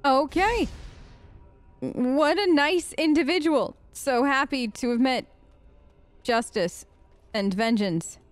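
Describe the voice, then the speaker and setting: A woman's voice: high-pitched, slow pace, pauses often, no room sound. A woman doing casual role-play gaming commentary as a let's play host, with a hesitant, wry manner.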